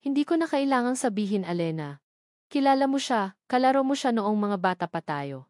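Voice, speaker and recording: A woman's voice: fairly high pitch, sing-song, a little fast, darkish tone, no echo, studio quality. A woman voicing a desperate, ashamed middle-aged father in an audio drama story. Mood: ashamed, subdued. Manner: earnest, desperate.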